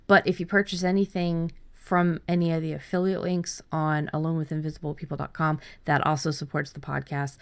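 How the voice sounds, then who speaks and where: A woman with a fairly low-pitched voice hosts a writing podcast. She talks in a loose, chatty way, often restarting and repeating words as she goes. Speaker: podcast host. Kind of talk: casual podcast chat.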